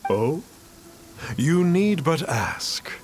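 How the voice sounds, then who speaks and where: A man's voice: on the high side, very animated, extremely slow, exaggerated voice. A man voicing a pompous masked mystical master in a video game, speaking in formal, archaic, cryptic phrases. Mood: lofty. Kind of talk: video game character acting.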